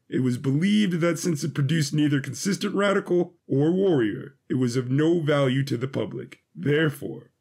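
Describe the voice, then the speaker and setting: A man's voice: mid-range voice, creaky, a little mellow, husky. A man reading scripted fiction as the narrator of a story.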